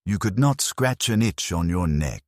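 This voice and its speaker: A man delivering dramatic scripted first-person narration in a grim, solemn, measured storytelling manner. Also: low voice, animated, dry recording, studio quality. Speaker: narrator of science fiction lore stories.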